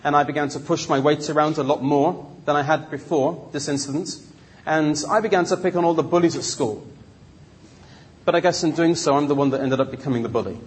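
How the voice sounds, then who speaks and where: Husky Londoner telling his life story, a man. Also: on the high side, brisk. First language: Cantonese. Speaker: speaker giving personal testimony. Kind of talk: autobiographical storytelling to an audience.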